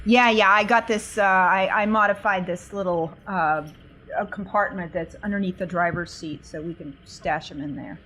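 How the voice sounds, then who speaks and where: A woman's voice: lowish voice, normal speed, bright, forceful tone, a little hiss or hum. A woman playing a tabletop role-playing game, speaking casually and bantering in character.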